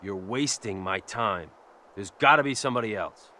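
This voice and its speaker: An actor playing a tough, terse biker hero in his thirties with an American accent, deadpan and threatening, in a video game. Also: on the low side, moderate pace, slight background noise. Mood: impatient, threatening. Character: tough brooding vengeful biker hero, curt and menacing. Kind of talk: video game cutscene acting.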